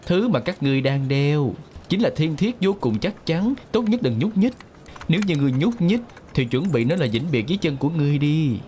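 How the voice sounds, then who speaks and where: A man's voice: fairly high pitch, big pitch swings, fairly quick, a little mellow, slight background noise. A man playing an arrogant, greedy, scheming clan leader in a fantasy drama dub, with a theatrical, sneering delivery. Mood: taunting, menacing. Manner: theatrical, menacing, sneering, gloating. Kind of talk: Vietnamese-style fantasy drama dubbing. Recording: voice over background music.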